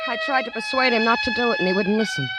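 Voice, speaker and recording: A woman's voice: fairly high pitch, racing, lightly acted. An actress in 1940s radio style playing an anxious, grieving woman who fears a voodoo curse. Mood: anxious, uneasy. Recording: vintage radio broadcast with music and crowd.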